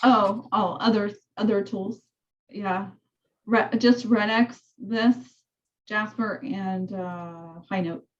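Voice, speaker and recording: Brief: soft-spoken woman team lead, chatty rambling explainer, mid-pitched, dull muffled call audio. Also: steady tone, takes it slowly. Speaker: real estate team leader. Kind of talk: casual team meeting walkthrough.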